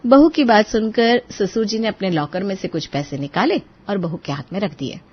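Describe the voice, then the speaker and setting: A woman's voice: mid-range voice, moderate pace, breathy edge, muffled recording. A woman narrating a moral story in a scripted storytelling style.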